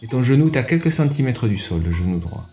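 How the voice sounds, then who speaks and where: A man guiding a yoga class, giving measured step-by-step instructions with pauses. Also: medium pitch, sing-song, warm tone. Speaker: yoga teacher and radio show host. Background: music under the voice.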